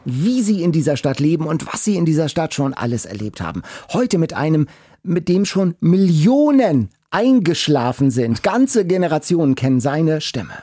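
A man's voice: on the high side, sing-song, moderate pace, mellow, soft background music. A man hosting a podcast interview in a chatty, colloquial and enthusiastic way. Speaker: podcast host.

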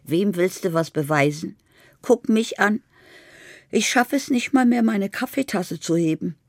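A woman's voice: medium pitch, unhurried, dry recording, clean studio sound, natural voice. An older woman playing a dying grandmother in a radio play, blunt, wry, feisty and plain-spoken. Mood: blunt, weary.

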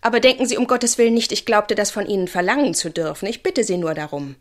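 An actress playing a proud, urgent young stenotypist in a radio play. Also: female voice, mid-range voice, fast talker, natural voice. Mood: firm, urgent. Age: young adult.

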